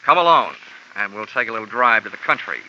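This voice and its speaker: A man's voice: on the low side, animated, quick pace, sharp tone, slight background noise. An actor playing a hard-boiled male insurance investigator, narrating and talking in a terse, sardonic, wisecracking way for a 1940s radio drama. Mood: dry. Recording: dull old radio broadcast with music.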